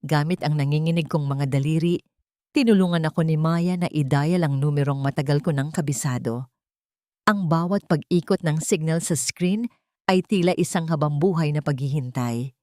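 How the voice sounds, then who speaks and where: A woman's voice: low voice, normal speed, warm tone, no room sound, studio quality. A woman reading a scripted first-person story in a dramatic storytelling style. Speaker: story narrator.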